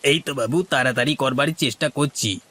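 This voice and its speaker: A man's voice: medium pitch, fairly quick, sharp tone. A man voicing village men in a cartoon, from schemers to worriers, with theatrical, exaggerated dialogue delivery. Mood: commanding.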